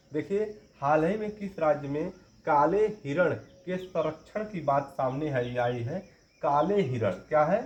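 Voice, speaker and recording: A man's voice: on the high side, darkish tone, slight background noise. Man teaching a quiz-style lesson. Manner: repeats each question and answer for emphasis. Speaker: current affairs teacher. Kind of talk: exam-prep quiz lesson. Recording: roomy, dull lo-fi recording.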